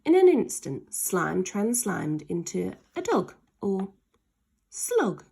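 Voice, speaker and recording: Husky woman reading stories very expressively. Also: medium pitch, sing-song, slow pace, mellow. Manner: dramatic, emphasises sound words. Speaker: storybook reader. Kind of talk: expressive children's story narration.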